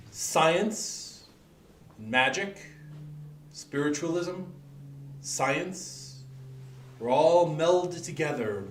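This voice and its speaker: A man speaking as a stage hypnotist, with deliberate, dramatic phrasing full of pauses and repetition. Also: fairly high pitch, slight background noise. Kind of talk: theatrical hypnosis demonstration patter. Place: echoey room with an audience, streamed live. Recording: echoey room, distant microphone, online stream.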